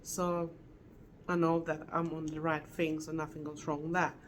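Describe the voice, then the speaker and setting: A middle-aged woman from Sweden with a Swedish accent, giving an earnest, halting vlog update with self-corrections and non-native phrasing. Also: low voice, level tone, slight background noise. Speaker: vlogger. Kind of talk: casual health update vlog.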